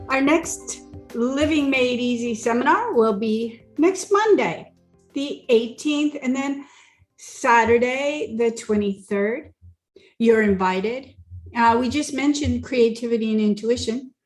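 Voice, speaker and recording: A woman's voice: fairly high pitch, slow pace, faint music behind. A woman hosting a talk show in a casual, chatty way, sometimes stumbling over her words. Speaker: host. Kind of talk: casual radio chat.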